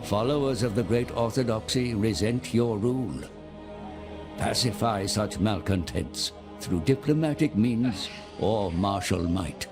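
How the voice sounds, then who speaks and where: A mysterious, scheming counsellor to a monarch. His voice is fairly low and very unhurried, grave and formal, narrating a game cinematic over music.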